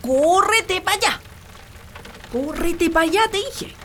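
Actress as high-pitched, bossy Chilean country wife. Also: female voice, lively intonation, slow, sharp tone, a little hiss or hum, heavily acted. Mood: scolding. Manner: scolding, exaggerated, colloquial. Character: bossy, nagging rural wife and doting mother. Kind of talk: comic radio drama acting.

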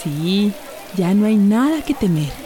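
A woman's voice: medium pitch, very animated, unhurried, a crowd in the background. An actress playing a resolute, protective mother in a radio soap, with a Central American Spanish accent. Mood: soothing. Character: resolute, protective mother in a storm-hit town. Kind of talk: radio soap opera acting.

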